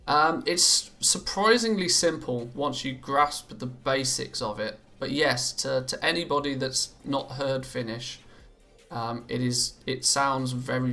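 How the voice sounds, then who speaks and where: A British man chats his way through a live gaming stream, his voice gravelly with fry. The tone is bright, and he keeps stumbling and restarting as he answers his viewers. Faint game music plays behind him. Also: mid-range voice. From Britain. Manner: chatty, reads out viewer comments and answers them; often stammers and restarts, laughs easily. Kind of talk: casual live-stream chat while gaming. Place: home.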